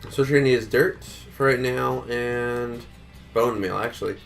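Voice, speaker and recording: Man, low gravelly voice, slightly sick, laid-back tutorial host. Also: moderate pace, faint music behind. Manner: relaxed, counts blocks aloud, many fillers. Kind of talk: casual step-by-step tutorial.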